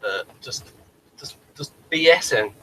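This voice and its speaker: A man co-hosting a casual, unscripted review chat, repeating words and trailing off mid-thought in a halting way. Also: on the high side, lively intonation, fairly bright tone, slight background noise, poor audio. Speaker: podcast co-host reviewing a TV series.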